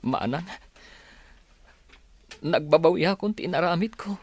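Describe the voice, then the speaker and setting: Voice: mid-range voice, unhurried. A performer with a Filipino Ilocano accent playing a remorseful, newly orphaned younger brother in a radio drama, plaintive and pleading.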